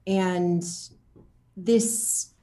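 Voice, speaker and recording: A woman chatting casually as an interview guest, telling anecdotes in an animated, jokey way, with laughter close at hand. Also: lowish voice, extremely slow, reverberant. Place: at home, indoor room.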